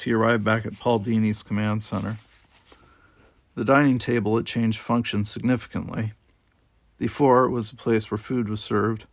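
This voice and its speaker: A man's voice: lowish voice, no room sound. A man reading an adventure novel aloud as dramatic, scripted narration. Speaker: audiobook reader.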